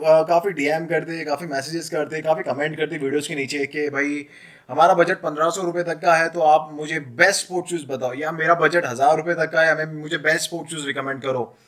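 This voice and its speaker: A man in his thirties with an Indian accent giving casual, chatty advice in a vlog, explaining things and mixing in English phrases. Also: fairly high pitch. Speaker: footwear dealer and vlogger. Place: small indoor room. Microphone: camera at arm's length.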